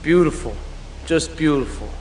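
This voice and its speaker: An actor playing a confident young mobster mechanic in a video game, with a slangy, streetwise delivery. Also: male voice, a little high, lively intonation, moderate pace, a little mellow, big-room sound, soft background music, lightly acted, poor audio. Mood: assured, businesslike. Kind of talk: video game cutscene acting.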